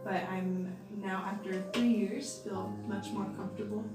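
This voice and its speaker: A woman's voice: mid-range voice, fairly even intonation, moderate pace. An American woman speaking as a guest in an informal chat, explaining things simply in a hesitant, thoughtful way. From United States. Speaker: guest, volunteer occupational therapist. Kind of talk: informal question-and-answer chat with students. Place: classroom at a language centre. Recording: echoey room, distant mic, music behind.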